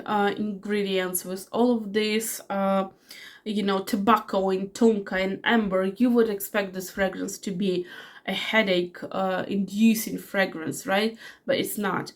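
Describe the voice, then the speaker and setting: Casual: forties lady fighting a cold, rambly perfume review, lots of ums and restarts, mid-pitched voice. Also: darkish tone. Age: 40s. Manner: chatty, rambling with many fillers and restarts. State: has a cold. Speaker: fragrance reviewer. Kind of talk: casual product review vlog.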